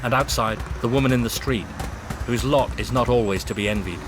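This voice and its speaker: A man giving measured, wry scripted documentary narration as a voice-over. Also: mid-range voice, noisy background. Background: music.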